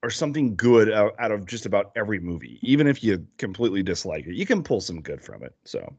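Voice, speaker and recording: Podcast guest, American man, casual and rambling, very animated intonation. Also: on the low side, fast, gravelly, slightly rough, dry recording, dull audio. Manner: rambling, lots of fillers and restarts, laughs easily.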